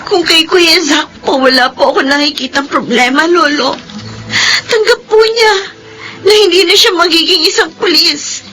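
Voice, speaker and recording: Young Filipina little sister, extremely high bright voice, caring, expressive, radio drama. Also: female voice, normal speed. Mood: worried, plaintive. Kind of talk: Tagalog radio drama acting. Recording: faint music under the voice.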